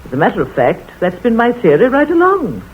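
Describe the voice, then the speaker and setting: An actress playing a nosy, know-it-all village spinster who talks brisk and bossy, in a 1940s radio play. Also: female voice, a little high, wildly swooping pitch, slight background noise. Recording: tinny old radio broadcast.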